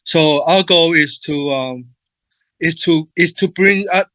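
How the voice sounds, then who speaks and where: A man with a fairly high, bright voice recorded dry and close, with no room sound around it. He speaks at a conference, filling pauses with "uh" and "um" and stuttering on repeated words. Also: dry recording. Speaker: presenter and moderator. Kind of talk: online conference talk.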